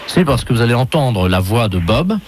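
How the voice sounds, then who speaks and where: A man making a prank phone call, speaking in a polite, official, deadpan manner. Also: medium pitch, moderate pace. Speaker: prank caller posing as aquarium official. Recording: phone prank recording with faint noise.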